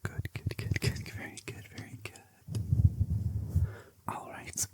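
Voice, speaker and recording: An ASMR creator whispering slow, gently encouraging instructions into a close binaural mic. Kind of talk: whispered ASMR focus test.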